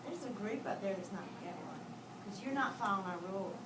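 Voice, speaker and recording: A woman's voice: medium pitch, animated, fairly quick. A woman classroom teacher giving firm instructions to young children. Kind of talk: classroom management. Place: indoor school classroom with loud background noise. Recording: distant, echoey, very noisy classroom recording.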